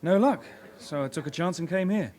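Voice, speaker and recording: An actor playing a young, put-upon detective constable, earnest and dutiful, in a British radio crime drama. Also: male voice, fairly high pitch, lively intonation, fairly quick, warm tone, close to an everyday voice. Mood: earnest, flustered. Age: young adult.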